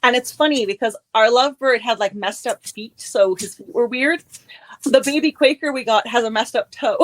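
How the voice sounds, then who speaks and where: A woman's voice: fairly high pitch, moderate pace, rough recording. A middle-aged woman born in Germany, chatting as a guest author and laughing often. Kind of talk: casual chat.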